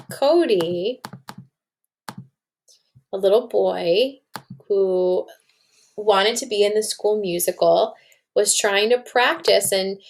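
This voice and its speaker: A woman reading a storybook aloud to children and explaining it like a teacher, with questions to the listeners. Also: medium pitch.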